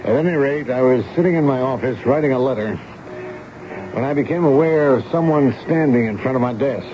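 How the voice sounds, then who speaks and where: A man's voice: medium pitch, natural voice. A man playing an authoritative, world-weary army major who commands a fort, in an old-time radio drama. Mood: weary, reflective. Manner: brisk, incredulous questioning of subordinates. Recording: muffled old radio recording, faint noise.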